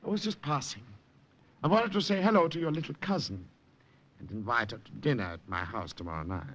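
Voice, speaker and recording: Sly, menacing commandant, bright forceful voice. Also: male voice, medium pitch, a little fast. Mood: smug, sly. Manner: silky mock politeness, turning to barked commands. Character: sly, menacing German army commandant, falsely courteous, given to barking orders. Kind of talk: 1950s radio drama acting. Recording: muffled vintage radio recording, light noise.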